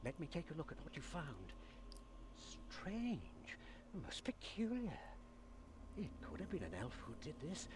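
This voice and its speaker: A devout old monk from a video game, pleads in a shaken, faltering way. His voice sits fairly high and rises and falls dramatically. There is clear noise behind him. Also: wildly swooping pitch, a little slow. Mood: troubled. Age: older. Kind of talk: scripted video game character acting.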